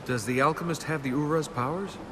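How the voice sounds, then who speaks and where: A man's voice: on the high side, brisk, noisy background, lightly acted. An actor playing a cocky, wisecracking young male adventurer in a video game, with a sardonic, bantering manner. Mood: wry banter. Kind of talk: video game cutscene voice acting. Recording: music behind the dialogue. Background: game score.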